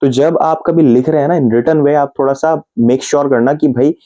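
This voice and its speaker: A man from India with an Indian accent, teaching English grammar in Hindi and patiently repeating example sentences slowly, word by word. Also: on the high side, steady tone, dark tone, lo-fi sound.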